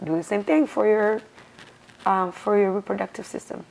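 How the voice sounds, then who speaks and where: A woman's voice: medium pitch, fairly even intonation, moderate pace, vocal fry, a little mellow, low-quality recording. An educator woman talking as a podcast guest, earnest and encouraging in casual conversation. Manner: earnest, encouraging, laughs often. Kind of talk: casual interview chat.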